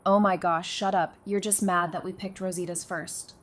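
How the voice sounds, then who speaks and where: A woman's voice: lowish voice, little pitch movement, normal speed, close to an everyday voice. A woman acting an affectionate, teasing young mother among survivors in an audio drama, speaking casually and sincerely. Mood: playfully indignant.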